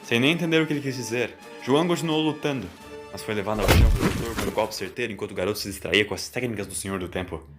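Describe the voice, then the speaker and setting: A man's voice: fairly low pitch, racing, over music. A man with a Brazilian accent reading a scripted story as its narrator.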